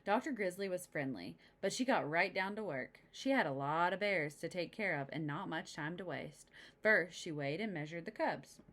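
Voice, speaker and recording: A woman with a slightly low voice reads a children's storybook aloud. She slips into chatty asides, explaining things simply for young listeners. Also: lively intonation, moderate pace, fairly bright tone, slight background noise. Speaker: storybook reader.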